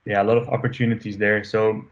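Flat-voiced man, lo-fi. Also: on the low side, quick pace. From Amsterdam, Netherlands. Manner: relaxed, hesitant with many fillers. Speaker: podcast guest, growth marketer. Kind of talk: casual interview talk. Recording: dull lo-fi remote audio.

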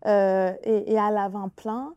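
A woman with a Quebec French accent and Innu as her first language, a guest author speaking thoughtfully with many pauses and restarts in an interview. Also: mid-range voice, steady tone, clear, resonant tone, dry recording, muffled recording. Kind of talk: reflective conversational interview.

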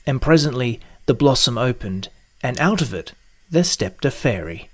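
Calm male storybook narrator. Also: mid-range voice, moderate pace.

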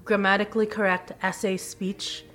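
A woman's voice: mid-range voice, little pitch movement, moderate pace, fairly bright tone. A young woman born in the Philippines and raised in Canada, chatting candidly as a podcast guest with self-deprecating anecdotes. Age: young adult. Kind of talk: casual chat, anecdote.

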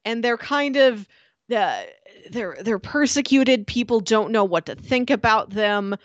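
A woman co-hosting a podcast in casual chat, talking in an animated way and often laughing as she speaks. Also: a little high, a little slow, no echo, lo-fi sound. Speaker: podcast co-host.

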